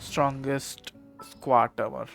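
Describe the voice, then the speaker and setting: A male game streamer, talking extremely fast at a medium pitch. His commentary is casual, and faint music plays behind him. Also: little pitch movement, gravelly, a bit bright. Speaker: gameplay streamer.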